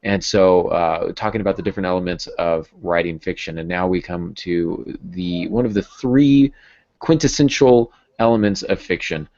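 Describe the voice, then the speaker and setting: A man's voice: deep voice, moderate pace, a little mellow. An American man in his thirties chatting casually as a podcast host over a video call. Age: 30s. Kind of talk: casual chat. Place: home room with bookshelves. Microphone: earphone headset on video call. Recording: dull, lo-fi video call audio.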